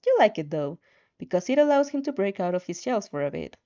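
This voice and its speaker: A woman's voice: medium pitch, big pitch swings, a little fast, dark tone. A woman narrating fan fiction expressively, with casual reactions, on a slightly dull, lo-fi recording.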